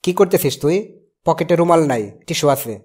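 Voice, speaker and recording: Cartoon uncle: exasperated, reluctant, rapid talker, Bangladeshi accent. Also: male voice, on the high side, darkish tone, slightly rough. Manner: grumbling, reasoning.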